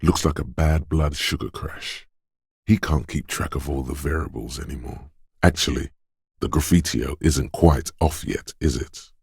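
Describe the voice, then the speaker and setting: An extremely deep-voiced quick-witted inner voice of a detective's instincts in a video game. He narrates in the second person, knowing and measured, with a dramatic touch. The sound is very close and dry. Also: fairly even intonation, vocal fry, darkish tone, husky, no echo, exaggerated voice. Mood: observant, knowing. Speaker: voice actor.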